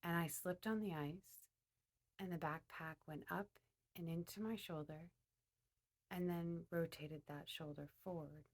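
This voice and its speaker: A woman with a fairly low voice guides listeners through a meditation. She speaks deliberately, often pausing partway through a phrase before carrying on. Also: steady tone.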